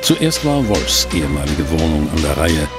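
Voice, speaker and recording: An actor playing a polite, pensive private detective who narrates and investigates in a German audio drama. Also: male voice, rumbling low, big pitch swings, moderate pace, over loud music. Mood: measured narration. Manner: courteous, pensive, hesitant. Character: polite, inquisitive male private detective, narrating his case.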